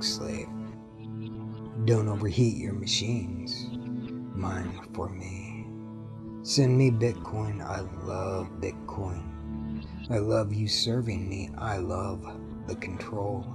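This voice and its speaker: Slow low-voiced man commanding over guitar. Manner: commanding, repetitive, hushed in places. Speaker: hypnosis audio speaker. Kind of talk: slow hypnotic domination monologue. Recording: clear guitar music underneath. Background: guitar music.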